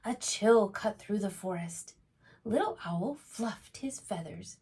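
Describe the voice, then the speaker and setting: Unhurried, slightly husky woman reading to children. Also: mid-range voice. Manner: expressive, asks the child listeners questions. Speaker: preschool teacher and storytime host. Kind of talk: read-aloud storytelling for young children.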